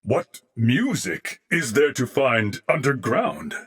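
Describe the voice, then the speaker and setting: A man playing a courteous, dignified, loyal warrior in a video game, with formal, measured and humble diction. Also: medium pitch, sing-song, fairly bright tone, husky, no room sound, studio quality, heavily acted. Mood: alarmed, battle-ready. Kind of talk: scripted video game character acting.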